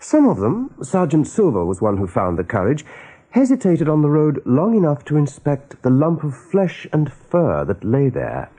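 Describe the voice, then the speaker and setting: A man narrating a radio play as a dramatic storyteller, with a husky voice. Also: on the high side, wildly swooping pitch, moderate pace, dark tone.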